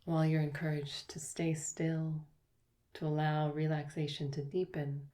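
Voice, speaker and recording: Woman, yoga teacher, guided relaxation, soft slow delivery, deep voice, warm tone. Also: flat delivery. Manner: slow, soft, soothing guidance with long pauses.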